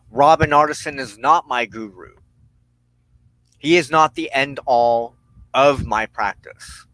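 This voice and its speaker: A man hosting a personal spiritual talk, speaking in deliberate, halting phrases with firm emphasis. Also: mid-range voice, a bit bright, dry recording, poor audio. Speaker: host of a weekly witchcraft broadcast.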